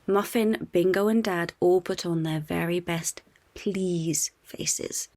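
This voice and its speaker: A woman reading a children's storybook aloud in an expressive scripted narration for young listeners. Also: on the low side, slow.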